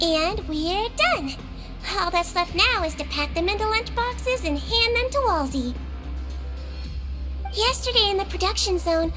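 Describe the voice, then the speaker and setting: A woman voicing a small, kindly girlish head nurse in a video game, gentle, reassuring and instructive. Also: very high voice, full character voice. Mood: friendly, businesslike. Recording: music under the voice.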